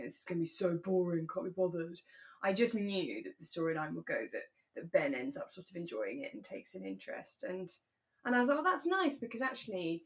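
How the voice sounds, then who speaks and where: A British woman in her thirties chatting casually and animatedly as a podcast co-host. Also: fairly low pitch. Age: 30s. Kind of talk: relaxed casual chat about a radio drama.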